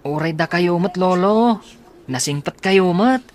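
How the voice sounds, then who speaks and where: A man's voice: high voice, lively intonation, measured pace, darkish tone. A man playing an eager young grandson, faint noise behind. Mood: eager, respectful. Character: eager, respectful teenage grandson. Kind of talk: radio drama acting.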